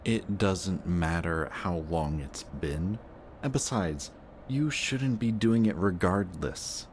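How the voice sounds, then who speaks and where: A man playing a sardonic, gruff but protective antihero villain in an intense, emotional audio roleplay. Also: sits low, a little hiss or hum.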